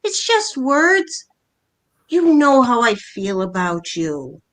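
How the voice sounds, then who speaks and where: A woman's voice: a little high, sing-song, takes it slowly, a bit bright, exaggerated voice. A woman playing a blunt, exasperated middle-aged mother and wife in an amateur staged play reading, brusque and matter-of-fact. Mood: impatient, defensive.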